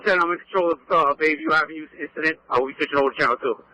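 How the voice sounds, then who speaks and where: A man speaks quickly over a police two-way radio, his voice bright and fairly high. He is clipped and commanding, coordinating units like an officer in the field. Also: no room sound, poor audio. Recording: police scanner radio transmission.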